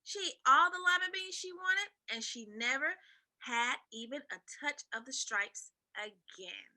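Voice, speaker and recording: Female read-aloud narrator for young kids; high-pitched, animated, expressive storybook delivery. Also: measured pace.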